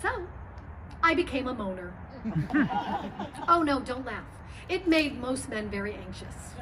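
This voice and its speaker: A brazen, teasing woman acted on stage confides in a high, unhurried voice. The comic monologue is chatty, heard from a distance in a noisy venue. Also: very animated, a crowd in the background, full character voice. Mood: cheeky. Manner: chatty, teasing, pausing for effect. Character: brazen, confiding former tax lawyer who loves moaning.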